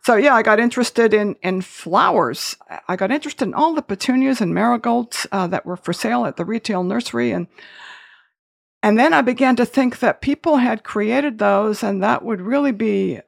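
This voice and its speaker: A woman's voice: fairly high pitch, normal speed, vocal fry. A woman in her sixties from Wales, a podcast guest talking through her life story in a chatty, self-correcting way. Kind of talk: conversational interview.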